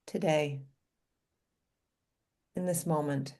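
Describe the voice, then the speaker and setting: Slow, low-voiced woman guiding meditation. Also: steady tone. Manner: gentle, unhurried, inviting, with pauses between short phrases. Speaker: doctor leading a guided meditation. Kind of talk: guided meditation.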